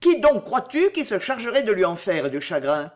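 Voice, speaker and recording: A woman's voice: mid-range voice, very animated, moderate pace, a little mellow. A woman voicing a troubled young man, curt, defensive and easily flustered, in a storybook reading. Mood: irritated.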